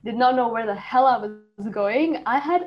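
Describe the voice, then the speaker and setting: A young woman from Saudi Arabia, a native Arabic speaker, talks fast and easily in a casual podcast chat, full of likes and little fillers. The sound is slightly dull and lo-fi. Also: on the high side, lively intonation. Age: 20s. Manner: chatty, storytelling with many likes and fillers. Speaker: podcast guest.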